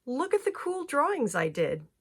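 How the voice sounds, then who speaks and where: Easygoing young male artist, lively pitch, read by a woman. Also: normal speed, heavily acted. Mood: proud.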